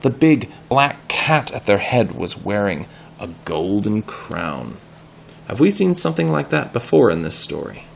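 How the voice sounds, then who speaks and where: A man reading a story aloud in an animated style, pausing to explain words and ask questions. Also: fairly low pitch, creaky, a little hiss or hum.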